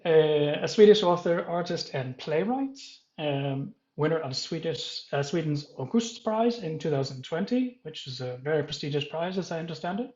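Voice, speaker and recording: A man moderating a panel, introducing the guests in a halting, self-correcting and apologetic way. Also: on the high side, expressive, a little mellow, roomy sound, dull audio.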